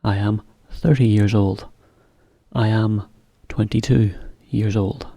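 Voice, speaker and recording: A man teaching a beginner English lesson, explaining slowly in plain words with example sentences. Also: on the low side, steady tone, creaky, mellow, breathy edge, dry recording.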